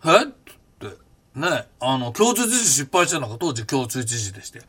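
Brief: man, 50s, podcast host, lively and blunt in casual memoir talk, mid-range voice. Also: mid-range voice, normal speed. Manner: animated, blunt storytelling with rough casual speech. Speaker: podcast host recounting his past.

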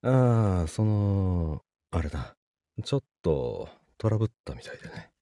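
A man acting in a Japanese voice drama as an evasive, apologetic boyfriend with a Kansai accent and a husky voice, stammering and hesitant. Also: low-pitched, sing-song, a little slow, mellow, no echo, heavily acted. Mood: guilty, apologetic. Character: evasive, apologetic boyfriend, busy office worker with a secret.

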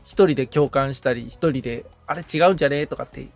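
A man's voice: medium pitch, quick pace, faint music behind. A man chatting casually as the assistant on a podcast, answering the host's questions. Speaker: podcast assistant and guitar repairman. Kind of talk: casual interview chat.

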